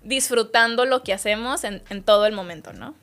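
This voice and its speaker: A woman, a guest on an interview podcast, telling work stories in a lively, chatty way. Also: medium pitch, fairly quick. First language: Spanish. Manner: lively storytelling, quotes others' words. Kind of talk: casual interview chat.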